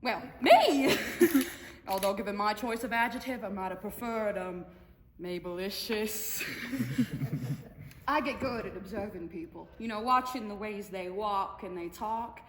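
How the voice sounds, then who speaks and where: A woman's voice: medium pitch, animated, unhurried, natural voice. A young woman performing a dramatic monologue as a brash, sparkling silent film comedienne, showy and theatrical, with conversational stammers. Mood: playful, excited. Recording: echoey hall, distant, noisy room.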